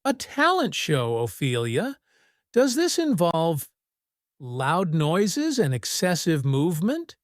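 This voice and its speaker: A woman voicing a gentle, sleepy male tabby cat in a children's story, languid and mournful. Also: low-pitched, huge pitch swings, slow, no room sound, clean studio sound, heavily acted. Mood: sleepy, reluctant.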